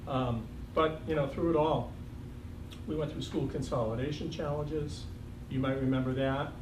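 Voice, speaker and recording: A man's voice: mid-range voice, fairly even intonation, normal speed. A man in his sixties with a slight Boston accent gives a reflective, lightly joking farewell speech as a school superintendent, with frequent ums. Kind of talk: farewell speech at a board meeting. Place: school board meeting room. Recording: echoey, distant, noisy room recording.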